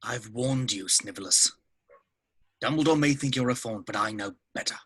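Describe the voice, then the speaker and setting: A man voices a protective wizard godfather in a fantasy script read. The tone is forceful and sharp with a husky edge, confrontational and defiant, standing his ground. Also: medium pitch, normal speed. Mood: threatening. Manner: confrontational, firm.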